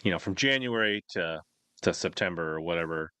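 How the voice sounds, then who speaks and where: Male livestream host, deep register, dry sound, informal rambling interview style. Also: animated, brisk, bright, forceful tone, clear, resonant tone, no room sound. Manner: rambling, reads out viewer questions. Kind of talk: casual live-stream interview chat.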